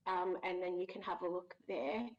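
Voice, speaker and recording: A woman in her thirties, a voice actress, answering interview questions thoughtfully with many pauses and restarts. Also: on the low side, level tone, highs cut off. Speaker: voice actress guest.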